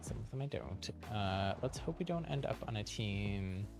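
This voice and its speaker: A man's voice: on the low side, animated, normal speed, vocal fry, over music. A man hosting a live video game stream, chatting casually as he plays. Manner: chuckles often while talking. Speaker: livestream host playing a video game.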